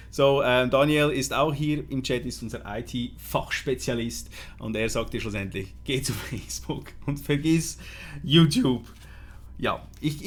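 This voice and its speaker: A middle-aged man with a Swiss German accent runs a casual live stream in a loose, chatty manner. He flips between English and German and keeps stopping to fill gaps and correct himself. His pitch sits at an ordinary middle height. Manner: loose and chatty, with frequent fillers and self-corrections. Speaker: host of a product live stream.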